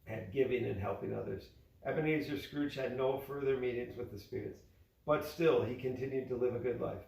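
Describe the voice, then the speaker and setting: A man's voice: mid-range voice, vocal fry, darkish tone. A man narrating a storybook aloud as a father reading to his child. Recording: echoey room, distant from mic.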